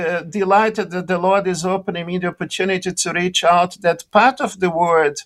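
Middle-aged Brazilian-accented man, raised pitch, eager halting radio guest. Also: fairly bright tone. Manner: enthusiastic, halting. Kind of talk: radio interview.

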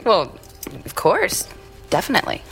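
A woman's voice: on the low side, huge pitch swings, moderate pace, fairly bright tone, faint music behind, full character voice. An actress playing a flirtatious, teasing single woman in an audio drama, coy and a little evasive. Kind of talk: naturalistic audio drama dialogue.

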